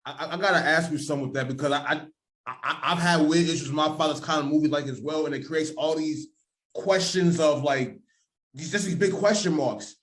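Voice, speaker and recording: A man's voice: a little high, brisk, a bit bright. A man hosting a casual podcast interview, asking questions in an informal, slangy, conversational way.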